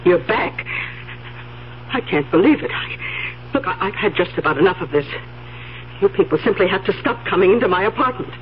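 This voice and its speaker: A woman's voice: medium pitch, expressive, brisk, long pauses, slight background noise, close to an everyday voice. Woman acting flustered elderly aunt, dull old radio. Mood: indignant, flustered. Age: older. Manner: flustered, stammering, fussy. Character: frightened, indignant elderly aunt living alone. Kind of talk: 1970s radio drama acting.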